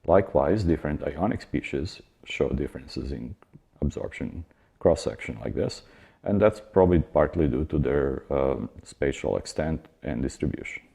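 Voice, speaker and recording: Very deep, gravelly male lecturer. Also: lively intonation, moderate pace, warm tone. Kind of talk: explanatory science lecture.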